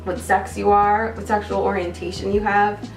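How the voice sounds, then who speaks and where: A woman's voice: medium pitch, little pitch movement, moderate pace. A woman in her early thirties from upstate New York, speaking earnestly in a documentary interview. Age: 30s. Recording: roomy, distant mic with music bed.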